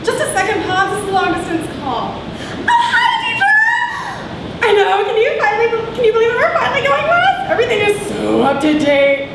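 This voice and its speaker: Very high-pitched gushing valley girl. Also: wildly swooping pitch, normal speed, crowd noise, heavily acted. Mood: gushing, excited. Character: chatty, trendy, self-absorbed young frontier gossip girl. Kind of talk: comedy sketch staged reading. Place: small theater stage. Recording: echoey, noisy theater from far off.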